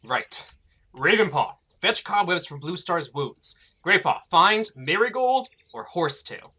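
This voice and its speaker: A man voicing a fierce, commanding dark warrior cat in a dramatic fan-made audiobook, stern and accusing. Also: high voice, big pitch swings, unhurried, slightly rough, exaggerated voice. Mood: solemn, stern.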